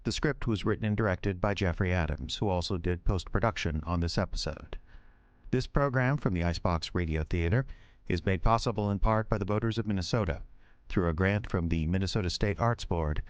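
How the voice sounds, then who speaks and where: A man's voice: low voice, brisk, no room sound. A man reading scripted credits as the announcer of an audio drama podcast.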